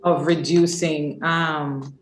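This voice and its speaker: A woman giving a semi-formal introduction as a webinar host, measured and halting, with pauses mid-phrase. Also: deep voice, steady tone, background noise. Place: indoors on an online webinar call. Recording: roomy, echoey webinar audio.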